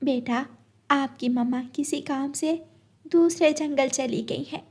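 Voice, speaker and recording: A woman's voice: sits high, slow, a little mellow. A woman voicing a kind, protective, worried mother sparrow in a cartoon, with a soothing, slightly hesitant manner. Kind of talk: cartoon character acting.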